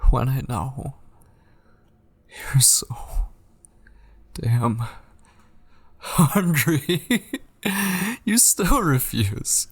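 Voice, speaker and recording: A man playing an obsessive, possessive kidnapper in an ASMR audio roleplay, seductive, menacing and pleading, coaxing in a gravelly, breathy voice that often slips into whispers. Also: fairly high pitch, animated, very slow, pauses often, dry recording, heavily acted. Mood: frustrated, pleading, then unhinged, desperate.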